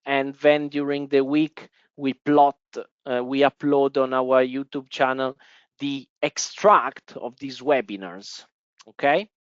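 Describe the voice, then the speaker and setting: A man's voice: medium pitch, fairly even intonation, slow pace, clean tone, no echo, dull audio. A man from Italy with an Italian accent, hosting a live stock-investing webinar and talking to viewers with many fillers and restarts. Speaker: webinar host. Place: office in the Italian part of Switzerland.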